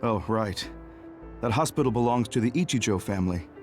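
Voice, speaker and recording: An actor playing a calm, guarded government insider in a video game, explaining things in a measured, matter-of-fact way. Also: male voice, fairly low pitch, faint music behind, lightly acted. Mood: grave. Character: calm, guarded male government insider revealing dark secrets.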